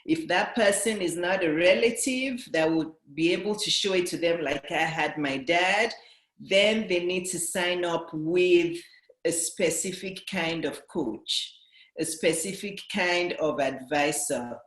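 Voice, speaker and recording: A woman's voice: lowish voice, unhurried, a bit bright, echoey room. A woman business coach with a Nigerian and British accent, giving an earnest motivational talk to camera and driving her points home by restating them.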